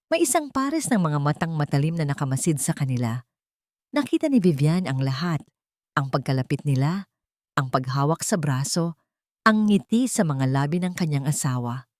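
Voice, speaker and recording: Woman, Filipino accent, lowish pitch, dry close sound, dramatic scripted storytelling. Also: sing-song, moderate pace, dark tone, no echo. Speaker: audiobook narrator.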